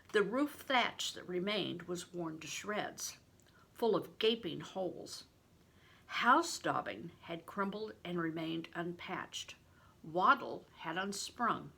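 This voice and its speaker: An older woman reading a novel aloud in an expressive, deliberate storytelling manner, stressing words for drama. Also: mid-range voice, creaky, breathy edge. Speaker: reader, retired reading teacher. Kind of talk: expressive audiobook-style read-aloud of a novel.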